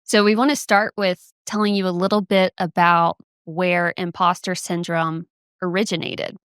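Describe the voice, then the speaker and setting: A woman's voice: on the low side, level tone, normal speed, a bit bright, clear, resonant tone, no echo, studio quality. Friendly self-deprecating woman podcaster. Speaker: podcast co-host. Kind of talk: casual chat and reading out research.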